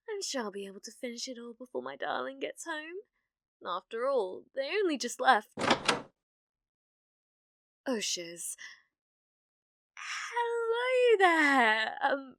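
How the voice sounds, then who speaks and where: A woman's voice: on the high side, pushed and bright, no room sound, clean studio sound, heavily acted. A woman with a British accent playing a clumsy, obsessive, lovestruck young witch in an ASMR roleplay, with hesitant, awkward speech. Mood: musing to herself. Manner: flustered, stammering, hesitant, breathy murmuring asides.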